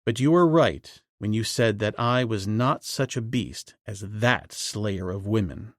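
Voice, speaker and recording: A man voicing a stern wild jungle man who speaks slowly and grimly in a low voice. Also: lively intonation, no room sound, clean studio sound. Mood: grave. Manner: slow, low, grim speech.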